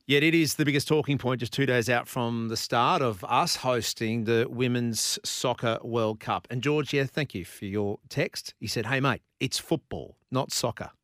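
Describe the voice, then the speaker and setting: An Australian man hosts a sports talkback show in a conversational, questioning way. His voice sits at an ordinary middle pitch. The sound is close and dry. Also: normal speed, few pauses, fairly bright tone, no room sound. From Brisbane, Australia. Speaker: radio sports host. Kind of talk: talkback radio monologue.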